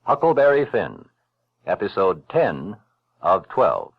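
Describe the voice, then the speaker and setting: Deep, gravelly male announcer. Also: wildly swooping pitch, slow pace. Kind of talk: episode title announcement. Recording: dull 1970s recording.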